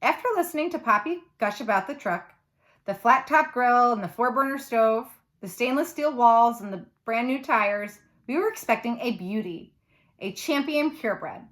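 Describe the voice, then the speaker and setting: Female read-aloud narrator, teacherly and expressive, slightly high pitch, children's fiction. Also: normal speed.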